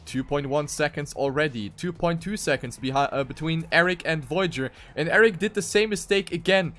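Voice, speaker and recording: A man's voice: on the high side, moderate pace, sharp tone, soft background music. A man doing live esports commentary on a racing game, with dramatic, emphatic phrasing.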